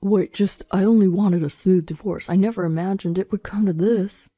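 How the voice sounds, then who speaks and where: A woman's voice: on the low side, moderate pace, dark tone, breathy edge, soft background music. A woman voicing a desperate, indignant cheating husband in an agitated, pleading way for a dramatic story.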